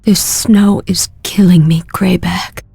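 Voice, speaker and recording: An actress playing a solemn, fading nature priestess in a video game, with a breathy, husky voice and grave, measured delivery. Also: female voice, fairly low pitch, steady tone, a little mellow, no room sound, faint music behind, full character voice. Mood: weak, freezing. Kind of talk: dramatic video game acting.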